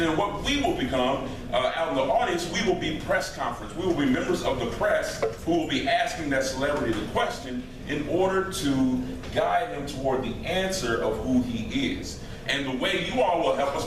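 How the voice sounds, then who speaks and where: A man hosting an improv show, briskly laying out the rules of a game for the audience. His voice sits slightly high and echoes around the room from far off, with plenty of noise underneath. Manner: brisk, instructive. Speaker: improv host. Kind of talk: explaining a game to a live audience. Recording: echoey stage from far off, noisy.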